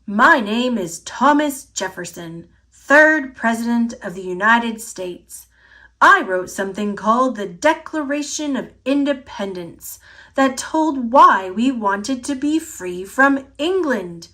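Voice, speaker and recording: A woman's voice: fairly high pitch, expressive, takes it slowly, pushed and bright, exaggerated voice. A woman voicing a self-satisfied schoolboy writer who plays Thomas Jefferson with emphatic storybook delivery. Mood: pleased with himself.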